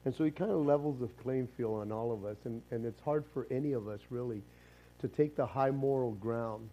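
A man with a hoarse, raspy voice, preaching a casual sermon with jokes and a rambling, self-interrupting way of talking. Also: medium pitch, brisk, muffled recording. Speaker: pastor. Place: church sanctuary.

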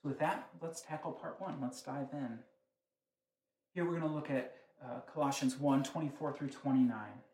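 A man's voice: medium pitch, normal speed, darkish tone. A man preaching a sermon, teaching in a conversational way with frequent rhetorical questions. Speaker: pastor. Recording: echoey room.

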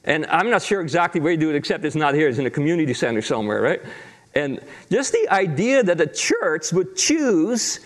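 Chatty guest preacher with a Dutch lilt. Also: male voice, on the high side, big pitch swings, fairly quick. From Netherlands. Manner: chatty, self-deprecating humor. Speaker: guest pastor. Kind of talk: sermon.

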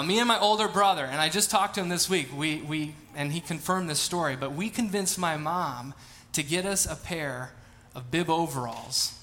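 A man's voice: a little high, sharp tone, slight background noise. A man in his late thirties, a pastor, reading scripture, praying and then telling casual, self-deprecating stories in a sermon. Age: 30s. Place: church sanctuary.

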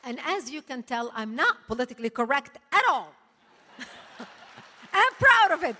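Woman, non-native English speaker, rally speech; high and piercing, emphatic delivery, echoey hall. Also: big pitch swings, measured pace, a little hiss or hum. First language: not English. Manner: forceful, emphatic, punctuated with laughs. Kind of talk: impassioned public speech. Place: large hall before a live audience. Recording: echoey hall, long reverb.

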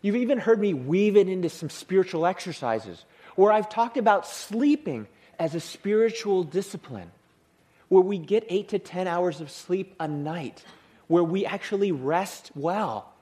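A male pastor giving a sermon in church, with a soothing voice and a humorous, lively manner of delivery. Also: sits high, measured pace. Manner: lively, humorous, acts out tired people.

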